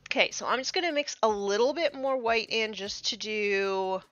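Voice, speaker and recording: A woman chatting casually on a painting live stream, a little congested from allergies, muttering soft asides as she works. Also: mid-range voice, normal speed, no echo. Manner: soft muttering asides, trailing off mid-task. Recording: slightly dull, dry sound.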